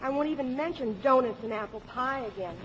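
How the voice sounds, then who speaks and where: A woman's voice: sits high, fairly quick, fairly bright tone. An actress playing a gloating young girl with teasing mock sympathy in a 1950s radio comedy. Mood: smug, teasing. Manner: teasing, sugary. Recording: muffled, echoing, noisy old radio broadcast.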